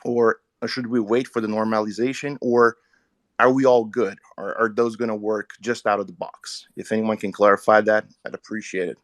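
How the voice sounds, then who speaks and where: A man's voice: fairly low pitch, level tone, moderate pace, no echo. A man whose first language is Portuguese, talking casually in an online audio chat and asking an earnest technical question. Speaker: Twitter Spaces speaker.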